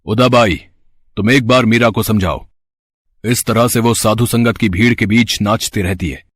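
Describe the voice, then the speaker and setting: An actor playing a stern, scheming royal brother-in-law in a storybook drama, commanding and indignant. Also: male voice, on the low side, fairly even intonation, normal speed, a bit bright, dry recording, studio quality. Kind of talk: acted devotional storybook drama.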